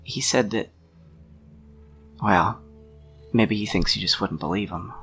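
Man playing a low, gravelly, troubled friend. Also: pushed and bright, heavily acted. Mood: uneasy, recounting. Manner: halting, deliberate, pausing mid-sentence. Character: troubled man worried over his missing friend, protective, sincere. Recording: music under the voice.

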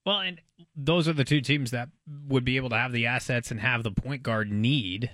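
A man's voice: medium pitch, normal speed, a bit bright, no echo, clean studio sound. A man co-hosting a sports talk show, bantering casually about basketball in a wry, playful way.

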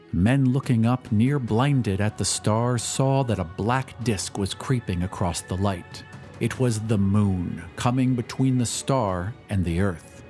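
A man's voice: medium pitch, a little mellow. Solemn male story narrator over music. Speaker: podcast narrator. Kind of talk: dramatic scripted story narration. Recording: background music under the voice.

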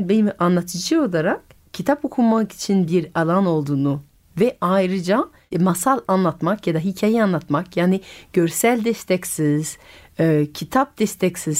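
Lively-voiced woman hosting radio talk. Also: medium pitch. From France. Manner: curious, questioning, finishes the guest's sentences. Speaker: radio host and storyteller. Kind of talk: radio interview.

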